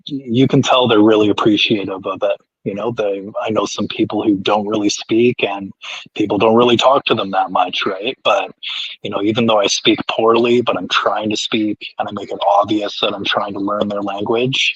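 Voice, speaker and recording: Husky low-voiced man. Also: moderate pace, no room sound, dull audio. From Canada. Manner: relaxed, rambling with frequent fillers and restarts. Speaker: guest. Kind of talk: casual chat.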